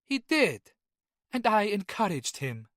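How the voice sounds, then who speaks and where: A man voicing a cold, ruthless young woman operative in an audiobook, calm and commanding. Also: high voice, slow, no room sound, clean studio sound, pitched above the performer's own voice, exaggerated voice. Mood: cool. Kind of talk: audiobook character voice.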